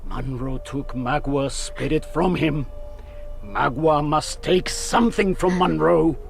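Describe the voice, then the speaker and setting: An actor playing an embittered, proud Huron warrior chief in a radio drama, speaking in a solemn, declamatory way. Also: male voice, fairly high pitch, takes it slowly, gravelly, faint music behind. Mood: brooding. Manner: solemn, declamatory, speaks of himself in third person.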